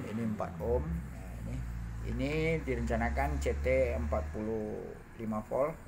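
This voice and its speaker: A man narrating an informal hands-on demo, halting and muttering to himself as he works, recorded indoors on a handheld phone. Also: fairly low pitch, vocal fry, noisy background. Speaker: hobbyist electronics builder demonstrating circuits. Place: indoor home workbench.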